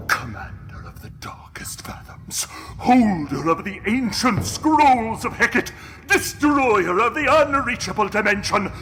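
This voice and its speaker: An actor playing a pompous film-hero overlord, declaiming grandly in a bombastic manner. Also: male voice, fairly high pitch, fairly bright tone, full character voice.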